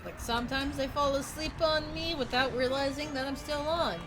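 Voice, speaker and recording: A woman streaming a game, chatting in a relaxed way to her viewers and to the monsters she fights. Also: high voice, moderate pace, music in the background. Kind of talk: casual game stream chat.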